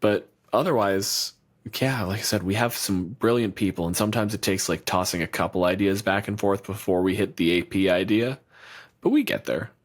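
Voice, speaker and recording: Low-voiced man, profane and rambling. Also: normal speed. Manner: loose and profane, rambling with restarts. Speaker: podcast co-host. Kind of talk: casual chat.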